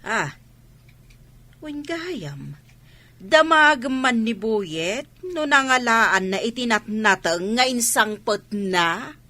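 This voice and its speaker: An actress plays a curious village gossip who takes her words slowly. Her voice is bright and forward, with an Ilocano accent, in radio comedy style. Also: on the high side, animated, dry recording, full character voice.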